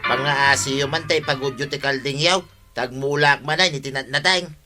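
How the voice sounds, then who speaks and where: A man's voice: mid-range voice, steady tone, normal speed, sharp tone, soft background music, close to an everyday voice. A man with an Ilocano accent playing a blustering, irritated villager in a comedy radio drama.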